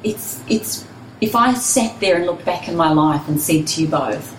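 Low-voiced New Zealand woman, roomy sound. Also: expressive, moderate pace. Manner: warm, chatty, laughs easily. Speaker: guest. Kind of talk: relaxed interview storytelling. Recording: echoey room, distant mic, faint hiss.

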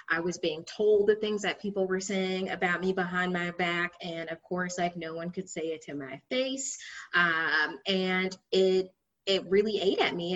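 Woman, sing-song cadence, fairly low pitch, casual chat, muffled lo-fi recording. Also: a bit bright. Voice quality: high-pitched by her own account. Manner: sing-songy, laughs often. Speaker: guest. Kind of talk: casual conversation. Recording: dull lo-fi sound.

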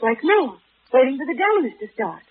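A woman's voice: sits high, very animated, a little fast. An actress playing a pert, plucky lady companion to a sleuth in a 1940s radio drama, on a tinny old recording. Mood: anxious, curious. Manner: pert, questioning.

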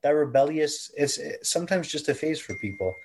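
A man in his twenties from Canada, earnestly and thoughtfully telling his own story in an interview, at times pausing to correct himself. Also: fairly high pitch, expressive, darkish tone. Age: 20s. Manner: earnest, measured, self-correcting. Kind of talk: reflective personal testimony.

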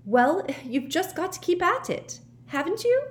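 A woman voicing a sensible, bossy teenage schoolgirl in an audiobook, brisk and insistent. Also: very high voice, big pitch swings, pitched above the performer's own voice, full character voice.

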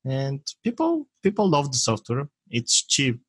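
Bulgarian-accented man, halting and dry. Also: mid-range voice, wildly swooping pitch, fairly bright tone, breathy edge, no room sound. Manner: halting, searching for words, laughs often. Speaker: guest, software maker. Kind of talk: casual interview talk.

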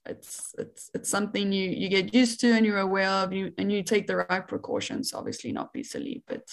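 A woman's voice: mid-range voice, fairly quick, gravelly. A young woman from South Africa with a South African accent, chatting as a researcher guest in an interview, laughing often and using many fillers. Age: young adult. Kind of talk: casual interview chat.

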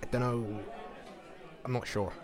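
A man's voice: mid-range voice, level tone, measured pace, darkish tone, some chatter behind, natural voice. An actor playing a weary, bitter young homeless man with a British accent in an audio play. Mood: reluctant, unsure. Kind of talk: audio play monologue and dialogue.

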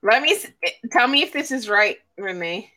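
A woman's voice: on the high side, expressive, moderate pace, sharp tone. A woman from Illinois hosting a casual live chat, a little drunk, loose and laughing, swearing freely. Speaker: host.

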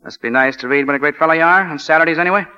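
Bright, forceful American football coach. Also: male voice, fairly high pitch, very fast, clean tone. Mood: teasing, fond. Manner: clipped, bossy, wryly sarcastic. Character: brisk, commanding, wry male college football coach. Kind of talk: 1940s American radio drama acting. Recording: dull lo-fi 1940 radio broadcast.

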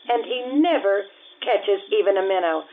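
An actress playing a proud, sharp-tongued working village woman who scolds bluntly in a 1950s-style radio drama. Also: medium pitch, normal speed, natural voice. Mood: exasperated. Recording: tinny, noisy radio-style stage recording.

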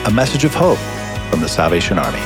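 A man announces a radio programme in a deep voice. His scripted delivery is quick and animated, over loud guitar music.

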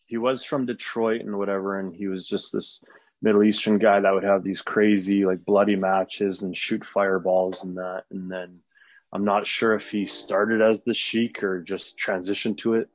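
Low-voiced man, rambling answers. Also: flat delivery, moderate pace, no echo. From Canada. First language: Arabic. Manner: rambling, many fillers and restarts. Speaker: wrestler guest. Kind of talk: casual interview answers. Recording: dull lo-fi dry sound.

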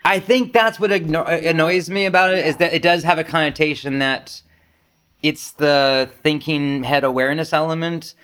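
A man's voice: fairly high pitch, steady tone, normal speed, fairly bright tone, smooth. A man leading a casual spiritual discussion, speaking informally with self-deprecating anecdotes. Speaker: Zen group discussion leader.

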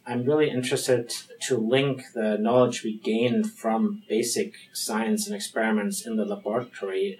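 A man's voice: lowish voice, a little mellow, poor audio. A man from Germany with a German accent, a physician-researcher answering interview questions in a thoughtful, hesitant way with frequent ums and restarts.

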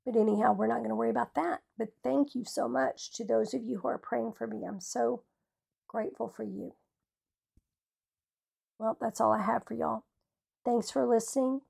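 A Southern American woman hosts an informal Bible teaching show. Her voice is fairly high but very gravelly and husky. She talks in a folksy, homespun way. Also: moderate pace, long pauses, darkish tone. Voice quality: rough, hoarse. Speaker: radio Bible teacher and host.

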